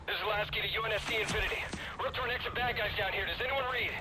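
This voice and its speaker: An actor playing an urgent naval officer calling over a radio in a video game. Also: male voice, high voice, racing, a crowd in the background, rough recording. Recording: radio transmission with clamor behind.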